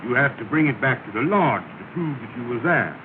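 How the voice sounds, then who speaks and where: A man's voice: medium pitch, expressive, fairly quick, lightly acted. A man playing a solemn, self-important lodge leader in a 1930 film comedy, talking pompously in ungrammatical dialect. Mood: solemn instructing. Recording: noisy, tinny 1930 film soundtrack.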